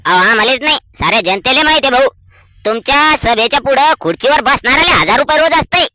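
A skeptical cartoon village dad acted by a man, extremely high-pitched and piercingly bright. He has a rural Maharashtrian accent and a sarcastic, folksy way of hammering words home by repeating them. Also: expressive, quick pace, full character voice. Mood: indignant. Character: sharp-tongued, skeptical village father, a cartoon commoner. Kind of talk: cartoon comedy voice acting.

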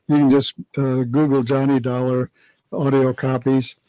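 A man in his seventies, a guest historian, explaining things haltingly in a radio studio interview. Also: medium pitch, flat delivery, dark tone, no room sound, rough recording. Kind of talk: informative interview talk. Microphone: boom studio mic.

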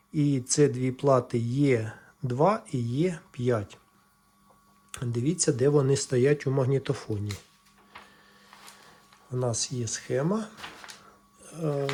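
A man casually walking viewers through a hands-on electronics repair, explaining haltingly as he thinks aloud. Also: medium pitch, a little mellow, slight background noise. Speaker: electronics repair video presenter.

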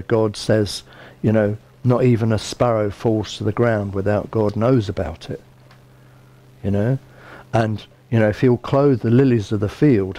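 A man's voice: fairly low pitch, mellow. A man in his fifties with a British accent, preaching a sermon in an earnest, conversational way. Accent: British English. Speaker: bishop preaching. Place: small indoor room by a window.

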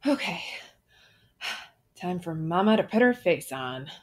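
A woman playing a breezily confident young sidekick in a motion comic, husky and flippant. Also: lowish voice, sing-song. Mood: taunting. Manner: flippant, brisk retorts.

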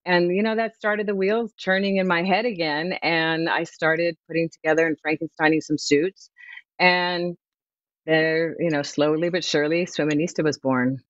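A middle-aged woman, a swimwear brand founder, chatting lively and laughing easily as a guest over a remote video call. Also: low-pitched, normal speed, no room sound. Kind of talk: promotional interview chat. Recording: dull, dry video call audio.

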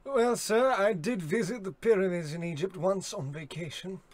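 Meek male underling for a radio comedy acted by a man: high voice, hesitant, stammering, always deferential. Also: moderate pace, lightly acted. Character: timid, deferential male assistant to a tycoon. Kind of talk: parody of old radio drama acting.

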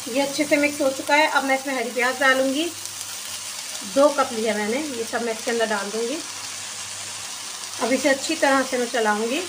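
A woman with a Pakistani Urdu accent hosting a cooking channel, calmly narrating each step of a recipe as she works. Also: fairly high pitch, slight background noise, rough recording.

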